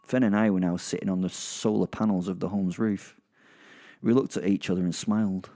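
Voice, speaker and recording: Sardonic low-voiced man telling dark tales. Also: fairly even intonation, brisk. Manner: sweary, sardonic, conversational. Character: petty, sardonic werewolf narrating his own misdeeds. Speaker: podcast host and storyteller narrating in character. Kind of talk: first-person dark comedy horror storytelling.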